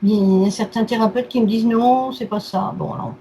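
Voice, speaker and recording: Very high-pitched woman, short itemizing phrases. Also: steady tone, brisk, dark tone. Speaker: therapy client. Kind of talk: casual recounting of health complaints. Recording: faint background noise.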